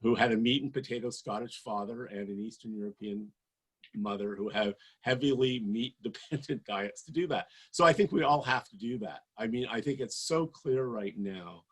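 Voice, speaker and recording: A man's voice: fairly low pitch, moderate pace, husky, rough recording. A man in his sixties speaking passionately and emphatically as a political candidate at a campaign event. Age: 60s. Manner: passionate, emphatic, rising to forceful peaks.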